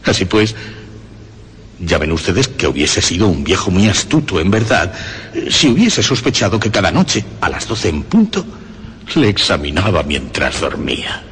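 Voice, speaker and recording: A deranged, cunning killer confesses with morbid pride in a radio horror drama. The voice is very low and theatrical, brooding through long pauses, then swelling in wide melodic swoops. It rings in a long, cavernous reverb. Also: male voice, sing-song, a bit bright, husky, full character voice. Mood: sly, mock-cordial. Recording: long reverb, faint background noise.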